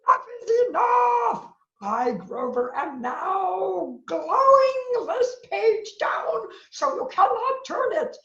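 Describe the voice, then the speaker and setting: Woman voicing a high, frantic male storybook monster. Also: big pitch swings, slow pace, gravelly, fairly bright tone, heavily acted. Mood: frantic pleading. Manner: melodramatic, pleading. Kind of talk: animated children's read-aloud. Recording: roomy, dull lo-fi sound.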